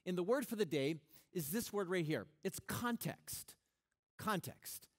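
A middle-aged man preaching a sermon on a church stage, animated and conversational, often restarting his sentences. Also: sits high, unhurried. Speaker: pastor.

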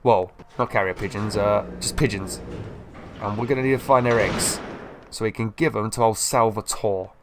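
A man's voice: lowish voice, normal speed, background noise. Chatty man, sniffly let's-play. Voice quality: chatty, excitable, sniffly from a cold. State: slightly ill, sniffly. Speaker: gameplay video host. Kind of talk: casual let's-play commentary.

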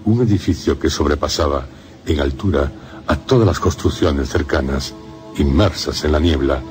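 A man with a Castilian Spanish accent narrating a literary radio drama in solemn, measured phrases. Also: a bass voice. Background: music.

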